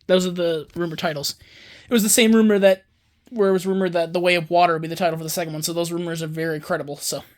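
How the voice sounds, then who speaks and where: A man's voice: a little high, steady tone, fast talker. Rambling young man showing off discs. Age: 20s. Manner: rambling, digressive, self-correcting; enthusiastic fan talk. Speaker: home video collector and YouTuber. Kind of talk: casual show-and-tell vlog.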